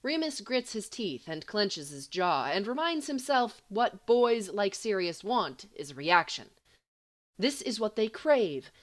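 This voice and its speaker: A woman reading a story aloud as an amateur audiobook narrator, in an expressive, dramatic and wry manner. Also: medium pitch, slow pace. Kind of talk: expressive scripted fiction narration.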